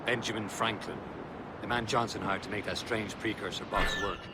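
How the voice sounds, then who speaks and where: A man playing a brisk, wry comrade handing out orders in a video game drama. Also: fairly low pitch, steady tone, very noisy, lightly acted. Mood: brisk, instructing.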